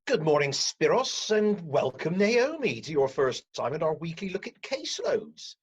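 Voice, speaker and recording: An actor playing a measured, patient but firm counselling team leader in a comedic radio play, speaking formally. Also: medium pitch, very animated, slightly rough, dull audio. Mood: brisk, encouraging. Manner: formal, professional, admonishing. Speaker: team leader chairing a caseload meeting.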